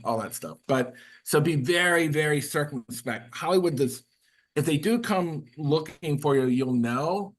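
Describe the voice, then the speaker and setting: A man's voice: on the high side, expressive, unhurried. A man who is a guest author, talking casually on a video call, rambling with many fillers and restarts. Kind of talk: casual webinar talk.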